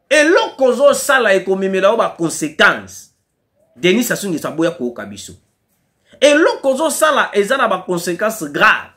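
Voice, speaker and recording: A man's voice: high voice, a bit bright. A man with a Congolese French accent giving impassioned political commentary, hammering home his points by repeating key phrases.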